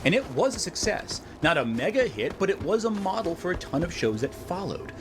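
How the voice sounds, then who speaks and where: A man narrating a scripted video essay with expressive, emphatic storytelling. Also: sits high, brisk, noisy background. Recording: loud background music under narration. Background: loud music bed.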